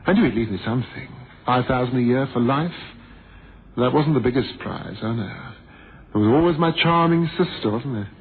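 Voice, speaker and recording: An actor playing a cold, vain, calculating murderer with a suave, condescending, theatrical manner in a radio drama. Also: male voice, medium pitch, normal speed, lightly acted. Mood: smug, calculating. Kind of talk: 1970s radio drama acting. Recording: tinny, noisy old radio broadcast.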